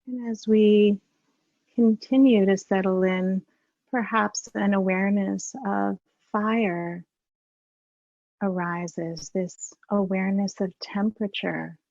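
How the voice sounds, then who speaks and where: Slow, even-toned woman guiding meditation. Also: medium pitch, gravelly, no room sound, dull audio. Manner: unhurried, with long pauses. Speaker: meditation teacher. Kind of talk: guided meditation.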